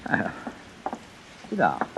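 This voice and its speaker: An actor playing an evasive, guilty schemer in 1950s radio drama, halting, uneasy and falsely smooth. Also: male voice, a bit bright. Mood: uneasy, polite. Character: evasive, nervous male schemer hiding his guilt. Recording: muffled, noisy vintage radio recording.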